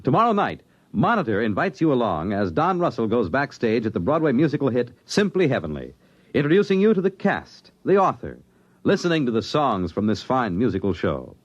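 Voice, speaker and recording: Male American announcer, fifties radio, emphatic and very animated, mid register, muffled archival recording. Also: mid-range voice, brisk. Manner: polished, emphatic, theatrical. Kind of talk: 1950s dramatic radio announcing. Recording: dull old radio recording.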